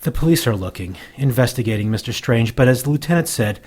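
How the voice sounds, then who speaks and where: An actor playing a worried, pleading father in a radio drama, earnest and halting. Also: medium pitch, animated, darkish tone, close to an everyday voice. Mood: desperate, earnest.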